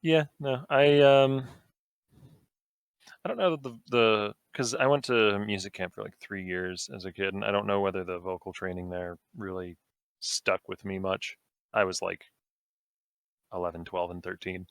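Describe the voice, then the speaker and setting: A young man with a low-set voice chats easily as a podcast guest, wandering through his thoughts with plenty of ums and likes. The sound is close and dry, with no room around it. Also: moderate pace, clear, resonant tone, no room sound. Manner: relaxed, rambling, full of fillers. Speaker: guest streamer. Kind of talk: casual podcast conversation.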